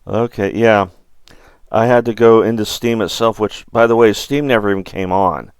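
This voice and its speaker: A middle-aged man hosting a gaming livestream, chatting casually in a rambling, halting way. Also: fairly low pitch, clean tone, no room sound, studio quality, soft background music.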